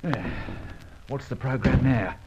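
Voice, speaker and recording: An actor playing an easygoing, laconic burglar's sidekick in an old radio serial, with terse, slangy talk. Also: male voice, medium pitch, measured pace, close to an everyday voice. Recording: muffled, very noisy vintage radio recording.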